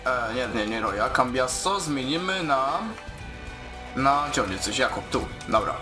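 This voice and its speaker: A man's voice: medium pitch, lively intonation, measured pace. A man giving casual commentary as he plays a video game, exclaiming and muttering along. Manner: exclaims and mutters while playing. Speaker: gaming video host. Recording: music behind the voice.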